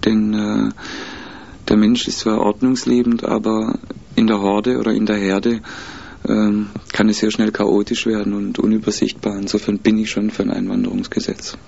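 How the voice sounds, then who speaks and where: A low, gravelly man with a husky edge to his voice, answering interview questions in a measured, hesitant way. He speaks in a flat, even tone and pauses often to think. The recording is dull and lo-fi. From mixed German, Spanish, Italian and Peruvian roots. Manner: measured, hesitant, many fillers.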